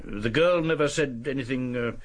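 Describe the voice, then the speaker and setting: An actor playing a middle-aged British police colonel in a radio drama, courteous, methodical and a little hesitant. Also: male voice, mid-range voice, moderate pace, natural voice. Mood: probing.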